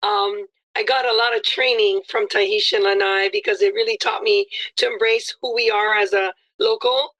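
A woman's voice: medium pitch, steady tone, moderate pace, hardly any pauses, a bit bright, rough recording. A woman hosting an interview, effusive and complimentary, with many fillers. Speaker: interview host. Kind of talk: friendly interview chat.

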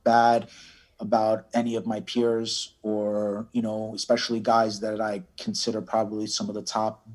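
A man giving casual interview answers, halting and often restarting his phrases. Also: on the low side, completely flat delivery. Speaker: cannabis brand owner, podcast guest.

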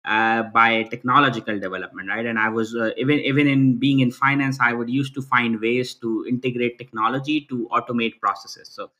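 A man's voice: mid-range voice, fairly even intonation, a little fast, hardly any pauses, bright, forceful tone. A man, answering interview questions earnestly over a video call, restarting phrases often and ending points with "right?". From Pakistan. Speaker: guest.

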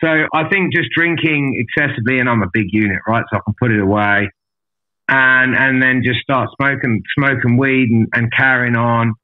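A man's voice: mid-range voice, normal speed, narrow, tinny audio. A man telling his story as a podcast guest in a casual, candid, blunt way, with lots of swearing and matey address. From an island outside Australia.

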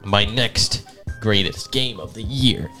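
A man's voice: lowish voice, slow, bright, forceful tone. A man hosting a casual gaming podcast among friends, talking enthusiastically in slangy, profane language. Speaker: podcast host. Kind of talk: casual chat among friends, game ranking. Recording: background music under the voice.

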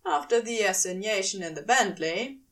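A woman reading a story aloud as expressive scripted narration, dramatic and deliberate with pauses for emphasis. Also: mid-range voice, distant mic. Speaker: fan fiction audiobook reader.

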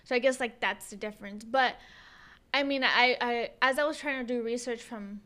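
A woman co-hosting a casual podcast, chatty and quick to laugh, with many fillers. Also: fairly high pitch, pushed and bright. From United States. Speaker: podcast co-host. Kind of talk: casual conversational chat.

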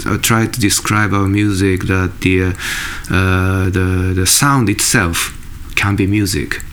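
Halting deep-voiced man. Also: steady tone, a bit bright. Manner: halting, searching for words, many fillers and restarts. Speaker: musician. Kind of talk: interview answers. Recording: faint background noise.

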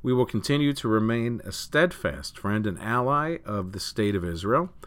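A man with a fairly low voice reading news articles aloud as a news reader. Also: lively intonation, normal speed, a bit bright.

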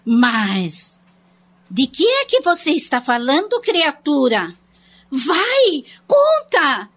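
Amiga bisbilhoteira de voz aguda. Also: female voice, sits high, huge pitch swings, fairly bright tone, exaggerated voice. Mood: avid curiosity. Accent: Brazilian. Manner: exaggerated, drawn-out prodding. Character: nosy female friend, eager for gossip. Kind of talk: radio soap acting. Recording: dull, lo-fi radio drama recording.

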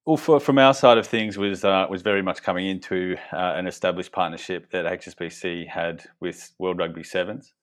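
Deep, flat-voiced Australian man. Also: moderate pace, few pauses. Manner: businesslike, with many ums and you-knows. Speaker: company co-founder and guest. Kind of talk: conversational interview answers.

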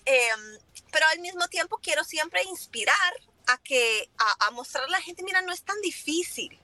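A woman's voice: sits high, expressive, moderate pace, bright, forceful tone, dry recording. A woman, a native speaker of Italian and English, talking casually as an interview guest in foreign-accented Spanish and slipping in English words. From Italy and Germany. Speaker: interview guest, business owner.

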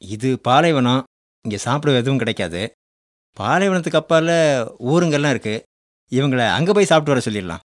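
An actor playing the disciple John in a radio drama, speaking in plain, earnest colloquial talk. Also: male voice, medium pitch, expressive, a little fast, pauses often, no room sound, clean studio sound, lightly acted. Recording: radio drama with soft music underneath.

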